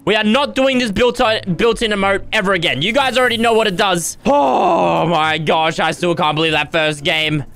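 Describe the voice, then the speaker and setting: A young man yelling through his own game session in a high, bright voice that cracks into squeals and groans. His voice is getting hoarse from all the shouting, and faint music plays under him. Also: normal speed, few pauses. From Australia. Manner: loud, dramatic, squealing yelps and groans. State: starting to lose his voice. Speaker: gaming YouTuber. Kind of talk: excited gameplay commentary.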